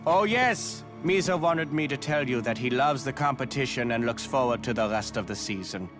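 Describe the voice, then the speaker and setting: An actor playing a sly, arrogant villainous racer in a video game, with a mocking, theatrical way of talking. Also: male voice, medium pitch, expressive, normal speed, over music, close to an everyday voice. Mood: smug, taunting. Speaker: rival racer working for a crime boss. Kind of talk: video game cutscene acting.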